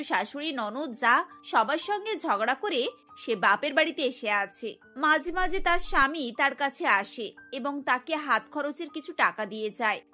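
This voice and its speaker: A woman's voice: high voice, bright, forceful tone. A woman narrating a scripted cartoon story.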